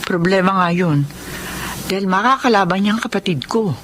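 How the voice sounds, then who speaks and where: An actress playing a loyal, outspoken wife and younger sister in a Filipino radio drama, speaking frankly and earnestly. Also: female voice, mid-range voice, normal speed, natural voice. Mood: concerned. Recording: faint background noise on radio drama.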